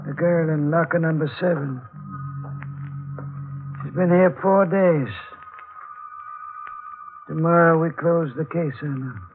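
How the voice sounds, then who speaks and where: A somber, fatherly older morgue man in a 1940s radio play. His voice sits a little high and moves slowly, grave and mysterious. The sound is thin and narrow, like a vintage broadcast. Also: fairly even intonation. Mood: inviting, mysterious. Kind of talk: 1940s radio drama acting. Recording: tinny 1940s radio recording, faint music.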